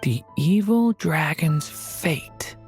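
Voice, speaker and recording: Low-toned, gentle dragon boy. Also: lively intonation, extremely slow, faint music behind, exaggerated voice. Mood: pensive, unsure. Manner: hesitant, thoughtful. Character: gentle, earnest young dragon boy, prone to worry. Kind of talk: video game voice acting.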